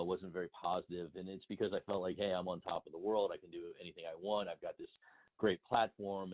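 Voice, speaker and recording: Middle-aged male interview guest, low voice, emphatic and wordy, thin phone-line sound. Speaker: interview guest, industry consultant and blogger. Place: remote phone call.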